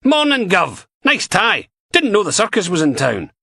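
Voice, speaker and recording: A man's voice: high voice, huge pitch swings, moderate pace, creaky, bright, forceful tone, husky, no room sound, voice pushed up, exaggerated voice. A man doing a brash, mocking woman inspector.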